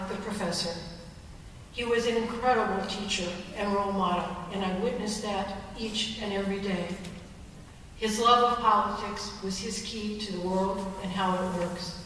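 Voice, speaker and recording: A woman, a longtime colleague, delivers a prepared memorial tribute in a thoughtful, steady way. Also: medium pitch, slight background noise. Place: large hall at a memorial service. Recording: distant echoey hall recording.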